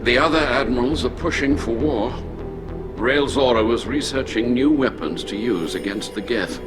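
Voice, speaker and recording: An actor playing a dignified, solemn alien admiral in a video game, speaking in a formal, measured and grave manner. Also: male voice, medium pitch, over music, natural voice. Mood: grave, earnest. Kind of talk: video game dialogue acting.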